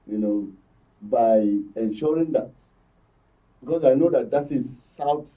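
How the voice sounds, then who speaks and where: A man with a Nigerian accent giving conversational political commentary as a guest, in a halting way, searching for his words. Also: medium pitch. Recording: muffled, far from microphone.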